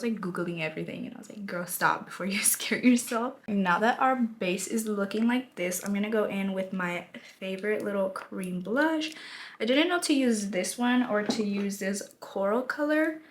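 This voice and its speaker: A woman in her twenties, her voice raspy from a lost voice, chatting casually while doing her makeup, laughing often. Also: mid-range voice. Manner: chatty, rambling, laughs often, says y'all. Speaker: beauty vlogger. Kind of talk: casual get-ready-with-me chat.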